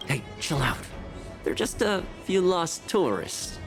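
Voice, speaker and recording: A man's voice: on the high side, big pitch swings, takes it slowly, over music, heavily acted. An actor voicing a monster posing as a male official in a video game, placating and officious.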